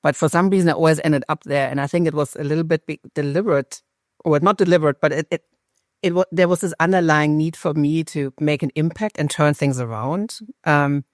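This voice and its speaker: A middle-aged woman with a German accent, a marketing executive talking candidly as an interview guest, lively and self-deprecating with frequent restarts. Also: deep voice, brisk, no room sound, studio quality.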